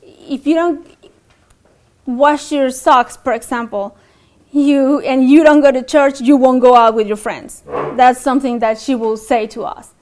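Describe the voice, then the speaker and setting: A woman's voice: sits high, fairly even intonation, unhurried, darkish tone. A young adult woman from Mexico with a Mexican Spanish accent, telling her life story in a documentary interview, hesitant, with many fillers and restarts.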